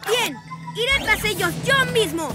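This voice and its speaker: A woman's voice: very high-pitched, lively intonation, unhurried. A woman voicing a bossy, pompous boy mad scientist in a Latin American Spanish cartoon dub. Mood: angry. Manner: pompous, gloating. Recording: loud music behind.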